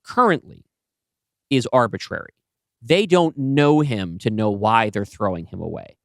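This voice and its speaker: A man talking casually and bluntly as a podcast host, with loose swearing and an animated discussion style. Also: mid-range voice, a little slow, gravelly, dry recording, clean studio sound.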